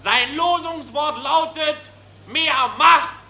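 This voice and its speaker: A man's voice: extremely high pitch, vocal fry, sharp tone, heavily acted. A man acting a bombastic nationalist demagogue, declaiming at a rally in a 1940s radio play. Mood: solemn, commanding. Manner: slow, ringing, bombastic declamation. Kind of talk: 1940s radio drama political speech. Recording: roomy 1940s radio recording, faint crowd.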